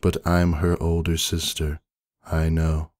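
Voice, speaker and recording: A man voicing a stoic older sister pony, narrating a story in a terse, deadpan way. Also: very deep voice, slow pace, no room sound, studio quality. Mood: stoic, somber. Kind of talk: dramatic first-person story reading.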